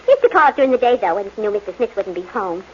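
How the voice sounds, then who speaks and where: A woman's voice: medium pitch, expressive, very fast, close to an everyday voice. A woman acting a gossipy, folksy, confiding housemaid in a 1940s radio drama. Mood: confiding, amused. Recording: muffled 1940s radio recording with noise.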